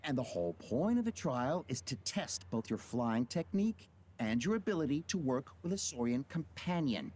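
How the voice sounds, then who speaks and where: A man's voice: medium pitch, big pitch swings, normal speed, darkish tone, a little hiss or hum, lightly acted. A man playing an earnest, doubtful young trainee in a video game, hesitant and questioning. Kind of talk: game voice acting.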